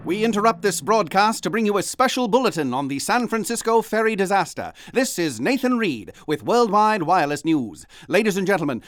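An urgent vintage radio newsreader. His high voice is formal and clipped, recorded very dry and close, as in a serious 1930s bulletin. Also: no room sound. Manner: formal, clipped announcer delivery.

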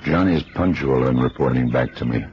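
An actor playing a laconic, dry-witted investigator boss in a radio drama, giving orders in a clipped, casually commanding way. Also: male voice, a bass voice, brisk. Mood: curt, suspicious. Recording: muffled old radio recording, faint noise.

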